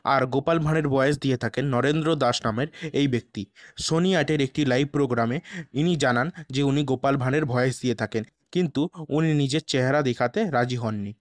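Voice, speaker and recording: A man's voice: medium pitch, brisk, dry recording, clean studio sound. A man reading scripted narration for an online video.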